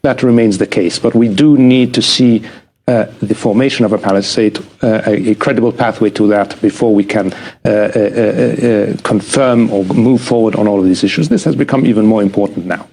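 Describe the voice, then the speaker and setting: Male official, lowish husky voice, measured and hesitant, formal policy register. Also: darkish tone. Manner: hesitant, measured, repeats words. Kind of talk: formal spoken policy remarks.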